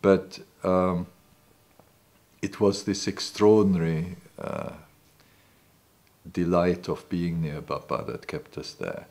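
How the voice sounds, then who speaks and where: Deep-voiced man, hesitant and reflective. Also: slow pace, pauses often, darkish tone. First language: not English. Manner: hesitant, many restarts and "you know". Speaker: interviewee. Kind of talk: reflective recollection. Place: living room indoors. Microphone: off-camera, seated interview.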